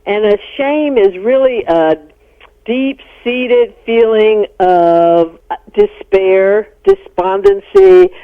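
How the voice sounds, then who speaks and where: A woman's voice: fairly high pitch, big pitch swings, drawn-out words, creaky. American woman on a thin, band-limited line. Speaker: interview guest, psychologist and workshop leader. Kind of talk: explanatory radio interview. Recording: narrow-band phone-like radio sound.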